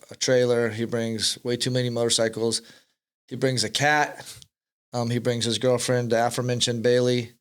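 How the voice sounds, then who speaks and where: Smooth-voiced man hosting a podcast. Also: mid-range voice. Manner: joking, ribbing his guest. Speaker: podcast host. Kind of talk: casual podcast chat. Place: podcast studio in California.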